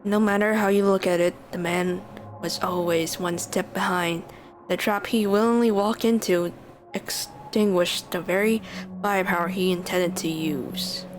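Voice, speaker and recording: A woman's voice: lowish voice, fairly even intonation, unhurried, slight background noise. A woman streaming a story game, reacting and reading the game's text aloud. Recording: loud game music behind.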